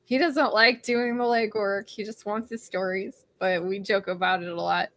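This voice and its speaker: A woman hosts a relaxed book discussion in a voice of middling pitch. She talks animatedly, laughing often and leaning on fillers as she recaps, and her voice sounds dry and close with no room echo. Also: normal speed. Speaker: host of a book read-along. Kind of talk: casual discussion recapping chapters.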